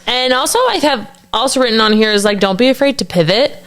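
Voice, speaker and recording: A woman's voice: on the high side, quick pace, a bit bright. A woman in her thirties co-hosting a podcast, talking in a reflective, earnest way with many fillers. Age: 30s. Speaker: podcast co-host. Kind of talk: casual chat.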